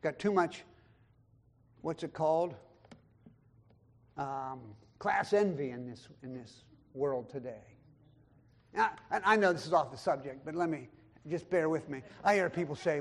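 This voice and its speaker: A man with a notably high voice delivers a sermon, quoting scripture aloud. He swells up loud on the big points and sinks to a hush on the asides, now and then acting out other people's words. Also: creaky. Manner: emphatic preaching, swings between loud high lines and quiet low asides; quotes scripture and acts out voices of others in the talk. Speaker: pastor.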